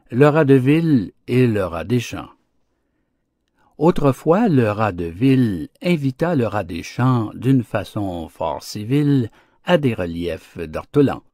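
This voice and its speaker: A man reads verse fables like an audiobook narrator. His voice is low and swings widely in melody, with a measured, theatrical delivery in a dry recording. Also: dark tone, dry recording.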